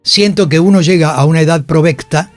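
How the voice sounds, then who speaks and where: A man's voice: on the high side, brisk, dry recording, soft background music. A man in his seventies with a Buenos Aires accent, chatting and reminiscing in a radio interview, anecdotal and checking in with his listener. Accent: Buenos Aires Spanish. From Buenos Aires, Argentina. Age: 70s. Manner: conversational, anecdotal, seeks confirmation from listener. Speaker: actor and producer, interview guest. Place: radio studio.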